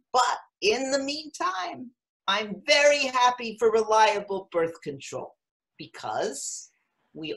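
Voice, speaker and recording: A woman acting a frank, wistful elderly mother of seven in a play reading, speaking with halting, matter-of-fact candour. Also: sits high, fairly bright tone, exaggerated voice, rough recording. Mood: frank, probing.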